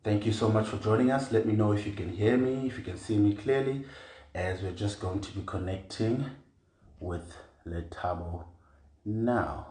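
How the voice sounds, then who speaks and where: Male host, low-pitched, chatty, echoey room, video call. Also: moderate pace, mellow. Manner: chatty, repeats short affirmations like "cool, cool". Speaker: magazine online editor and interview host. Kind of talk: casual live-stream interview.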